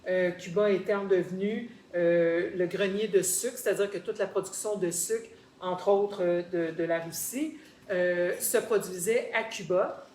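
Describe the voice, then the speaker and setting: A woman's voice: on the low side, a little slow, a little mellow. Québécois woman explaining in a roomy space. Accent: Québécois French. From Quebec, Canada. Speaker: guest sharing personal experience. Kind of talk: conversational storytelling in a group discussion. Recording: roomy echo, faint background noise.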